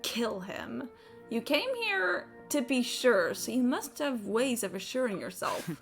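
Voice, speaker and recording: A woman streaming a game live, commenting casually and reading dialogue aloud in a playful, expressive, jokey way. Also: on the high side, unhurried, creaky, over music.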